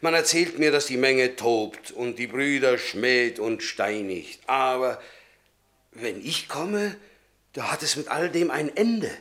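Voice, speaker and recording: Fiery pious strongman, bright forceful voice, swings up and down, radio drama. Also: medium pitch. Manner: fervent, declamatory, given to outbursts. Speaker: actor. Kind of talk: German radio play acting.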